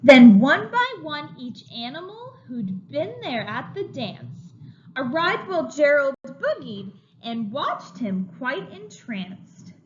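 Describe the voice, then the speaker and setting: A preschool teacher reading a picture book aloud, with lively, expressive storytelling for small children. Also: female voice, high-pitched, slow pace. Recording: dull lo-fi sound with faint room noise.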